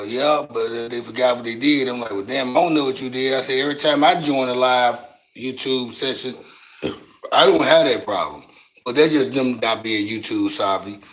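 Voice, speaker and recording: A raspy-voiced man, hosting a casual livestream and talking loose and profane into a phone mic from his couch at home. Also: medium pitch, fairly bright tone, low-quality recording. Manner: loose, profane, drawling street talk. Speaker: livestream host.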